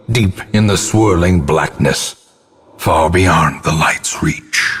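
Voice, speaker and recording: A man playing a grim old ancestor, narrating a gothic game in a grave, theatrical and portentous manner with a husky, gravelly voice. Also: low-pitched, full character voice. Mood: ominous dread. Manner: grave, ornate, deliberate, portentous.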